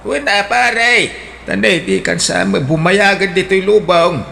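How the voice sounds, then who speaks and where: A male actor voices a cheeky middle-aged husband in a radio drama. His voice rides high and lively with a gravelly rasp and a bright, forceful edge. He jokes around and brushes off his wife's complaints. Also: slow, a little hiss or hum, exaggerated voice. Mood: sympathetic. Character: middle-aged husband, a gift-seeking, teasing visitor. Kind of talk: Ilocano radio drama acting.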